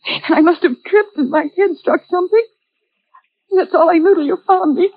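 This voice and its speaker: An actress playing a frightened but plucky young sister in a 1940s radio thriller, earnest, breathless and dramatic. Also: female voice, very high-pitched, normal speed, no room sound. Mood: frightened, insistent. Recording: dull band-limited vintage radio recording.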